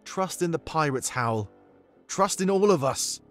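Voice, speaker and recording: Man voicing a high-pitched, commanding rebel leader. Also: lively intonation, unhurried, fairly bright tone, soft background music. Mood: reassuring, firm. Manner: commanding, emphatic. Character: bold, commanding male rebel commander rallying troops.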